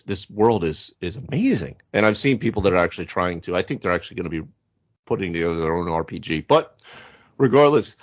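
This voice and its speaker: A man's voice: deep voice, dry recording. Man podcasting, dry muffled lo-fi sound. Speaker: podcast co-host; physical therapist. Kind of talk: casual panel discussion about tabletop roleplaying games.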